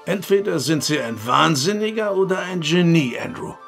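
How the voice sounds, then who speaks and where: Male, impatient, caring husband type for radio play; gravelly, slightly high voice, terse and sceptical. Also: expressive, normal speed, fairly bright tone, soft background music. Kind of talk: German audio drama acting.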